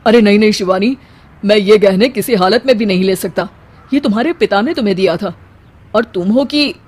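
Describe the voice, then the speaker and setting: A man's voice: high voice, brisk. A man voicing an earnest, protective family man in a cartoon moral story. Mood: refusing, earnest.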